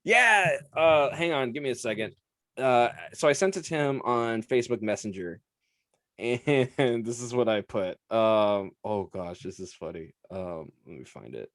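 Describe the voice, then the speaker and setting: A man's voice: medium pitch, animated, measured pace, fairly bright tone, no echo, studio quality. A young man co-hosting a casual sports podcast, chatty and laughing often as he talks. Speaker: podcast co-host.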